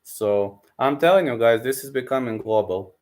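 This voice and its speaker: A man's voice: medium pitch, lively intonation, moderate pace, darkish tone. A man from Serbia with a Balkan accent, hosting a casual live stream and eagerly thanking viewers, repeating himself and calling them man and bro. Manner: enthusiastic, repetitive, keeps calling listeners man and bro.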